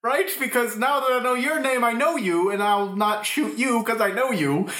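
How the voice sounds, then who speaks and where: A woman's voice: a little high, moderate pace, fairly bright tone, voice pushed down, natural voice. A woman playing a scatterbrained, confused police detective in an improvised comic radio play. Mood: rambling, earnest. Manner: confused, literal-minded, rambling. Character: bumbling, heavily armed, scatterbrained police detective. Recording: roomy, echoing room sound.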